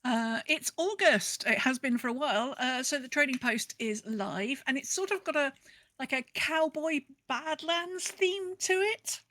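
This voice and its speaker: A woman's voice: sits high, expressive, unhurried, a bit bright, no room sound. A woman co-hosting a podcast, giving a casual rundown of gaming news in a conversational, thinking-aloud way. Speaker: podcast co-host.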